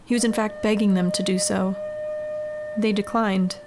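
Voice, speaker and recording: A woman's voice: mid-range voice, level tone, normal speed. A woman reading a scary short story as a scripted audiobook-style narration. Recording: clearly noisy background ambience.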